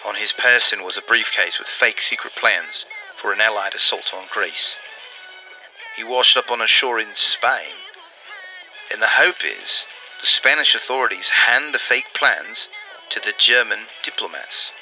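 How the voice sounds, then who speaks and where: A man performs a persuasive wartime intelligence operative in radio-drama style. He explains things earnestly and deliberately in a slightly low voice with an extremely crisp, forceful edge. Music plays faintly behind him. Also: lively intonation, exaggerated voice, rough recording. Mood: explanatory. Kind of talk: 1940s radio drama acting.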